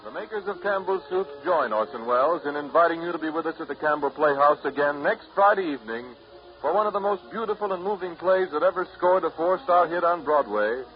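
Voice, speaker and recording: Male 1930s radio announcer, lively and fairly high, polished sponsor reads, slightly dull vintage sound. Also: brisk, soft background music. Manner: polished salesmanship. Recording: muffled, noisy 1930s radio broadcast.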